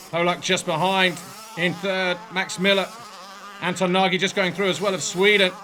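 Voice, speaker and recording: A man giving brisk, excited play-by-play commentary on a motocross race as a studio voice-over. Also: sits high, a little hiss or hum. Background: music bed.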